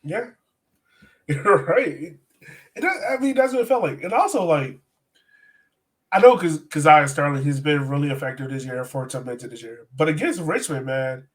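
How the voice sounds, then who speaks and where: A man with a somewhat high-pitched voice co-hosts a sports podcast. He talks in an informal, slangy way, analysing play as if chatting with a friend. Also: lively intonation, moderate pace. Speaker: soccer podcast co-host. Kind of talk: casual sports chat and game analysis.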